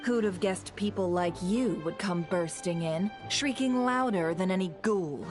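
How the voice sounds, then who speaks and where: A woman's voice: fairly low pitch, measured pace, over music, close to an everyday voice. An actress playing a haughty, witty, imperious lady in an anime game, speaking formally with theatrical scorn. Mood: scornful.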